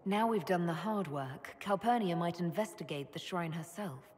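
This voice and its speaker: A woman's voice: lowish voice, moderate pace, a little hiss or hum, lightly acted. An actress playing a composed, direct female commander who leads agents in a fantasy game. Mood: composed, decisive. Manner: direct, questioning. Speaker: voice actor. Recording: loud music behind voice.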